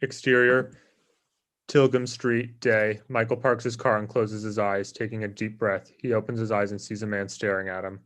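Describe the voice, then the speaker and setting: A man's voice: lowish voice, little pitch movement, normal speed, fairly bright tone. A man narrating screenplay stage directions in a plain, scripted reading style.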